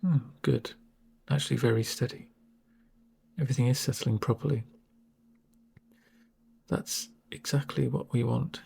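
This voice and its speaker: Guy acting as a calm asmr medic, super breathy n soft, bit of creak, gentle w dry humour. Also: mid-range voice, full character voice. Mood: soothing. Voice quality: breathy, near-whispered. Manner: gentle, unhurried, reassuring murmur. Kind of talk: soft-spoken ASMR roleplay.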